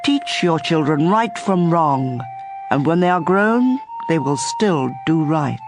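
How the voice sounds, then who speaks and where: A narrator reads scripture for a dramatized audio Bible. The delivery is slow and measured, at a middling pitch. Instrumental music is clearly heard behind the voice. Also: lively intonation. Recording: music bed under voice.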